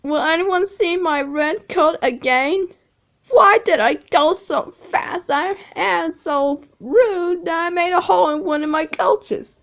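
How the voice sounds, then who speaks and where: A woman voicing a proud red male steam engine, chastened and determined, high and emotional. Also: slow, a bit bright, exaggerated voice. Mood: tearful, sorry.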